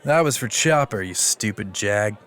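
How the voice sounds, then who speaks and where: An actor playing a vain, swaggering beast slayer who talks in smug slang, in a fantasy comedy audio drama. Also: male voice, fairly low pitch, lively intonation, moderate pace, fairly bright tone. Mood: dismissive. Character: cocky dudebro beast slayer, vain male ex-boyfriend.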